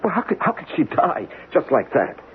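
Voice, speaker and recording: An actor playing a practical, skeptical investigator with an insistent, questioning manner in a 1970s radio drama. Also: male voice, on the high side, wildly swooping pitch, normal speed. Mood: alarmed. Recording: muffled, noisy old radio broadcast.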